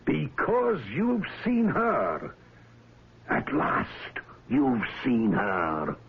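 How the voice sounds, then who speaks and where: A man's voice: mid-range voice, huge pitch swings, drawn-out words, vocal fry, exaggerated voice. A man acting an obsessed, lovelorn ship's captain in an old-time radio drama, with a stern, theatrical intensity. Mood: commanding, grave. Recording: muffled, hissy old radio broadcast recording.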